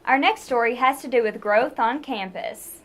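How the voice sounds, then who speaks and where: A young woman reads campus news from a script with a fairly high, clear voice. Her delivery is even and polished, like a student news anchor. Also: normal speed.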